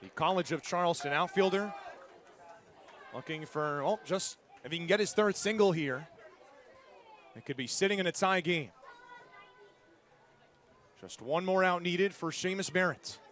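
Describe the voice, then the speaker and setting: A man's voice: high-pitched, a little slow, pauses often, fairly bright tone. A man doing live play-by-play sports commentary in punchy, clipped phrases, as an announcer calling the game. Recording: live stadium crowd behind the voice. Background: outdoor ballpark crowd.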